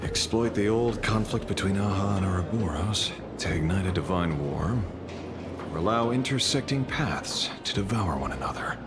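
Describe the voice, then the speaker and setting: Casting: calm, calculating ex-rebel for a story game, deep register, deliberate delivery, heavy music underneath. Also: male voice. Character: calm, calculating man, former rebel leader and schemer. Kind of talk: video game story dialogue.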